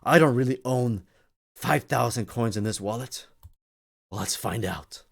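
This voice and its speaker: A man's voice: medium pitch, a little slow, gravelly. A man hosting a livestream with casual live commentary, speaking in repetitive, stop-and-start phrases and often addressing his listeners directly. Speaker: livestream host.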